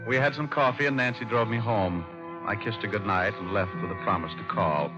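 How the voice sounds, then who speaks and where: A dull old radio recording with music behind it. A low, hard-edged American private detective played by a man talks with wry, offhand toughness in classic radio drama style. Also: fairly quick, natural voice. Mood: coolly narrating. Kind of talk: 1940s-50s radio drama acting and first-person narration.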